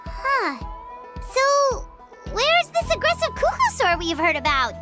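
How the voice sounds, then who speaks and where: Tiny chirpy game fairy, extremely high and animated, childlike and curious. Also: female voice, slow, over loud music, heavily acted. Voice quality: squeaky, childlike. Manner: exclamatory, refers to self in third person. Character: small childlike fairy companion, chirpy and inquisitive. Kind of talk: video game voice acting.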